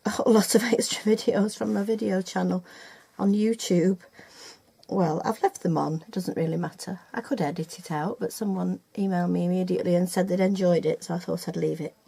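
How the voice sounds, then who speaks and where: Chatty husky-voiced woman, older. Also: mid-range voice, warm tone. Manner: chatty, hesitant, with many ums and self-corrections. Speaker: felting teacher. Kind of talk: casual video tutorial. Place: home room, upstairs.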